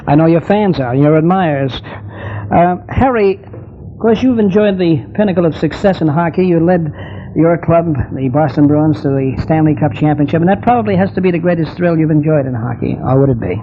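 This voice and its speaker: A man's voice: fairly high pitch, a little fast. A man hosting a radio sports interview, speaking in formal, courteous announcer phrases. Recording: dull vintage 1972 radio, faint noise.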